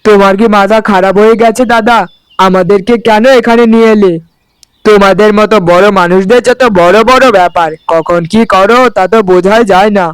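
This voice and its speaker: Man acting an overwrought high-pitched younger brother. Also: steady tone, normal speed, smooth, no echo, natural voice, poor audio. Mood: resentful, impatient. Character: resentful, impulsive younger brother, easily overwrought. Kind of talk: melodramatic cartoon story acting. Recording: music under the voice.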